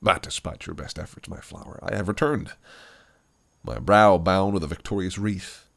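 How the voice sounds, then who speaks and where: An actor playing a possessive, scheming fae prince who speaks in silky, archaic phrases that can turn menacing. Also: male voice, deep voice, normal speed, creaky. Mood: teasing. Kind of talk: audio roleplay acting.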